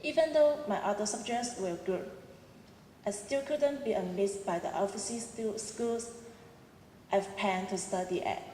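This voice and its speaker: A woman's voice: medium pitch, measured pace, warm tone, a little hiss or hum. A young woman in her twenties with a Cantonese accent, giving an earnest prepared speech as a student at a ceremony, with small restarts. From Hong Kong. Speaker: student speaker. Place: large auditorium. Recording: echoey hall, roomy reverb.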